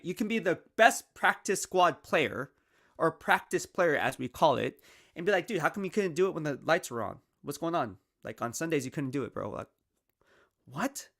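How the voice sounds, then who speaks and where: A man hosting his own football analysis show, talking casually and energetically, often checking in with "right?", while losing his voice. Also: a little high. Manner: energetic, conversational, peppered with "right?" and "all right?". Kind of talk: casual sports commentary monologue.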